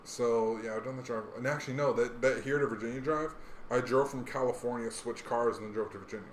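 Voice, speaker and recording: An American man co-hosts a podcast in loose, casual talk full of slang and profanity. His mid-pitched voice wanders from point to point. The room echoes noticeably, with faint noise behind him. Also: steady tone, quick pace. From Hawaii, United States. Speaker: podcast co-host. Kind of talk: casual chat. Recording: roomy echo, faint background noise.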